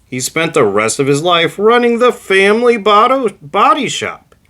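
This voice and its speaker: A man reading a scripted encyclopedia entry aloud as host, with a deliberate, emphatic announcer-like delivery. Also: sits high, very animated, bright, forceful tone. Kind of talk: scripted narration, read aloud.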